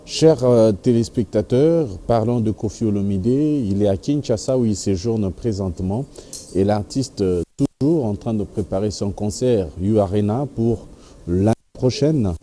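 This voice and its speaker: Male TV host, dark low voice, formal announcer delivery, faint room hum. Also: a little slow. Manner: formal announcer phrasing mixing in Lingala. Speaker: TV show host. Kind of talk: TV entertainment news and interview.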